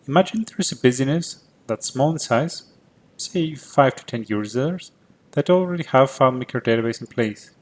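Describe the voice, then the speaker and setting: Greek Cypriot man, 30s, tech presenter; clear, methodical delivery at a middle pitch. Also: a little mellow. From Cyprus. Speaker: conference presenter. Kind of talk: prepared conference talk.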